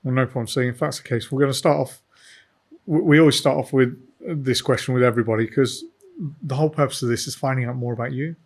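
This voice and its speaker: A man hosting a casual podcast interview. He is jokey and informal, filling pauses with ums, and has a middle-pitched voice. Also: steady tone. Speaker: podcast host.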